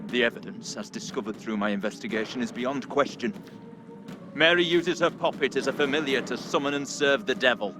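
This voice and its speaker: An actor playing a zealous, accusing seventeenth-century reverend in a video game, with pompous, fervent, oratorical delivery. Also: male voice, medium pitch, animated, fairly quick, background noise, lightly acted. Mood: grave accusation. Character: zealous, accusing 17th-century Puritan reverend, a grown man. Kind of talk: dramatic video game acting.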